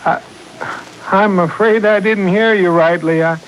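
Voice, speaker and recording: A timid small-town man in an old radio drama. His voice is high, and he speaks slowly, stammering and hesitating as if easily rattled. A faint hiss runs under the 1940s recording. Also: steady tone, a bit bright, rough recording. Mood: puzzled, hesitant. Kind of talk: 1940s radio serial acting. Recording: hissy 1940s radio broadcast.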